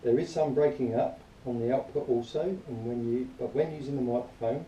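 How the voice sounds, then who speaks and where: Dark-voiced man, distant in an echoey room. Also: mid-range voice, brisk, muffled recording. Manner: rambling, matter-of-fact grumbling. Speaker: product reviewer. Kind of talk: informal spoken review, partly reading aloud. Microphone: camera at a distance. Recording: distant quiet mic, roomy echo, faint noise.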